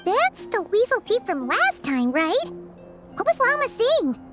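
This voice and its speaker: Game sidekick: excitable tiny female creature, very high and sing-song, game music under the lines. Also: a little slow, full character voice. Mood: excited. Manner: bubbly, exclamatory, speaks of herself in third person. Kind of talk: video game voice acting. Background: game background music.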